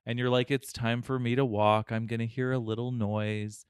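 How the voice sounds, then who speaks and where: Podcast co-host, male, animated storyteller, smooth voice, dry close mic. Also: mid-range voice, moderate pace, no echo. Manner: animated storyteller, laughs often, many restarts. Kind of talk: casual chat.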